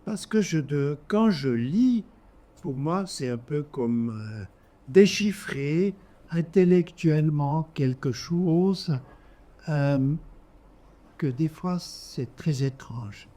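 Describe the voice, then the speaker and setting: A man with a Swiss German accent, a native Swiss German speaker, making impromptu audience remarks in halting, deliberate short phrases. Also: on the high side, lively intonation, mellow. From German-speaking Switzerland. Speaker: audience member. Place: live literary café event.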